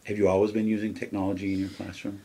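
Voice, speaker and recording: Deep husky male interviewer. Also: level tone, fast, warm tone. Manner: easygoing, casual questioning with fillers. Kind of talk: interview questions.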